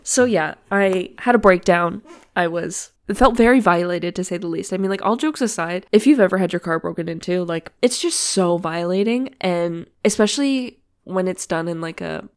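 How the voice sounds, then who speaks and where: A woman's voice: lowish voice, moderate pace, clear, resonant tone. A woman in her twenties from Ontario, Canada, co-hosting a podcast in casual chat, her nose stuffed up, telling stories with lots of "like". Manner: chatty storyteller, frequent "like". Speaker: podcast co-host.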